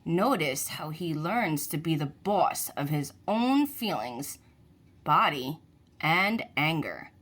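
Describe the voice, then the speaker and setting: A woman narrates a picture book for young listeners. Her pace is slow and deliberate, and her pitch moves in a lively, expressive way. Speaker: children's book reader. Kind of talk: read-aloud storybook narration for children.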